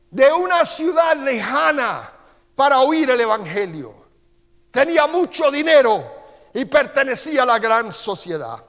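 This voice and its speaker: Man, Costa Rican accent, pastor, very high voice, long church-hall reverb. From Costa Rica. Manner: preacher's cadence, slow emphatic Scripture reading and quieter personal storytelling. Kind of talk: sermon. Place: church sanctuary.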